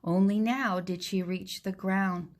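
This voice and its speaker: A woman teacher reading a storybook aloud to young children in a deliberate, direct way. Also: mid-range voice, steady tone, a little mellow.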